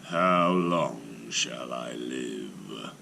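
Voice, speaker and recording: A man voicing a giant male clay golem, simple and slow, speaking in a flat, rumbling way. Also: creaky, slight background noise, full character voice. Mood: wondering.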